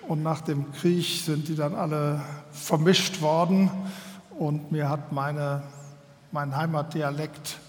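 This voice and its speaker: A German man giving a public lecture to an audience, in a measured and earnest way, often pausing to find his words. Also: a little high, steady tone. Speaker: guest speaker. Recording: long hall reverb.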